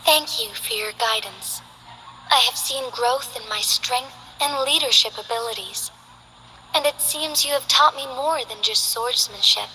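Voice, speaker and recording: A gracious young magistrate. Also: female voice, sits high, pushed and bright, a little hiss or hum, rough recording. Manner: polite, measured, formal speech. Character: gracious young female city magistrate, formal and earnest. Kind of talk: game character voice lines.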